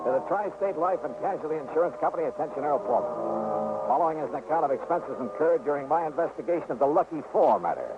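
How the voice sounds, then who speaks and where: An American actor playing an easygoing, inquisitive insurance investigator in an old radio drama, brisk and conversational. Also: male voice, on the high side, lively intonation, close to an everyday voice. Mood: businesslike narration. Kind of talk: 1950s radio drama acting, with narrated expense account. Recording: noisy, phone-like old radio recording.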